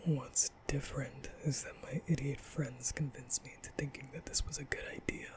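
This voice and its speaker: A man playing a nervous, caring young vampire in an ASMR roleplay, speaking softly and hesitantly with stammers in a breathy whisper. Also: medium pitch, fairly even intonation, moderate pace, lightly acted. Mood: sheepish, confiding. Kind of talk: ASMR roleplay, soft comforting boyfriend audio.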